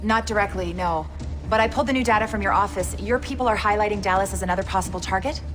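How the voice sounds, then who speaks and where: An actress playing a composed intelligence officer in a video game, speaking in a businesslike, matter-of-fact way. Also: female voice, medium pitch, fast talker, music in the background, natural voice. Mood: brisk, composed. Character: brisk, composed female intelligence operations officer. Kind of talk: video game cutscene acting.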